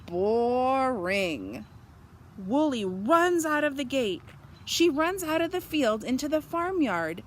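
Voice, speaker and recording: A woman reading a storybook aloud for young children, pausing to explain and ask them simple questions. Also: sits high, big pitch swings.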